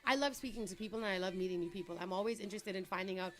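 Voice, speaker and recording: A young woman, born in Trinidad, chats brightly as a guest on an interview show. She talks at length in an upbeat, sociable way, with a voice of middling pitch and quick laughs between answers. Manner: upbeat, talkative, laughs easily. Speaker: entrepreneur guest. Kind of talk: casual interview chat.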